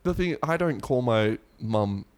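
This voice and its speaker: A man's voice: mid-range voice, lively intonation, measured pace, clean tone. A man, co-host and editor of a podcast, chatting casually with dry jokes. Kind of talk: casual chat.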